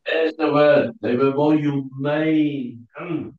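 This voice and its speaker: A man with an Afrikaans accent, whose first language is Afrikaans, teaching a Bible class in a halting way, often pausing to search for English words. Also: a little high, steady tone. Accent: South African Afrikaans. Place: church hall. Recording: distant, roomy, dull lo-fi sound.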